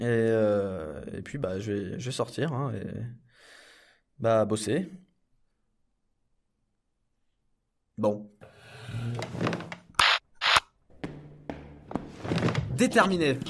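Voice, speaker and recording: A man's voice: medium pitch, expressive, normal speed, slight background noise, natural voice. A man playing an inarticulate clone henchman in a comedy audio drama, rambling, hesitant and easily exasperated. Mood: mumbling, unsure.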